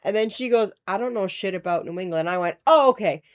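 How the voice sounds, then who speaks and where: A woman's voice: mid-range voice, quick pace. A woman with a Rhode Island accent, a podcast cohost chatting casually, swearing freely and retelling conversations. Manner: chatty, profane, quotes others in retelling. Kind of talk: casual chat.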